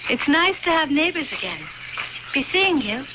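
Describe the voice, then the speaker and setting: An inviting, sociable neighbour woman in vintage radio drama. Her voice moves with lively pitch, buried in loud noise on a dull old recording. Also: moderate pace. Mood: flirtatious. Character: friendly neighbour woman, by turns aged widow and younger flirt. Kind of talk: 1970s radio drama acting.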